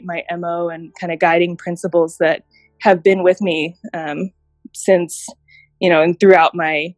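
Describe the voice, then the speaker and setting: Woman, 30s, from Japan, interview guest, lower voice, dry sound, reflective storytelling. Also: no echo. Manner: reflective, storytelling, thoughtful pauses. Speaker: guest, healthcare innovation director. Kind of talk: interview conversation.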